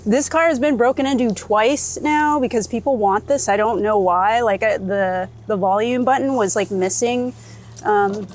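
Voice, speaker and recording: A woman from Florida with an American accent, chatting casually as an interviewed photographer, talkative and quick to laugh. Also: on the high side. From United States, Florida. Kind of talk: casual chat while out and about. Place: on location in a city, rooftop, car and street. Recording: outdoor city and vehicle noise.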